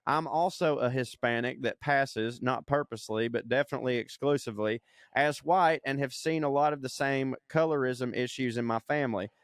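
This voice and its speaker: A man's voice: mid-range voice, moderate pace, no room sound. Swearing man reading listener mail. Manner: profane, laughing, reads aloud with asides. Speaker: podcast co-host. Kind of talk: casual chat reading listener email.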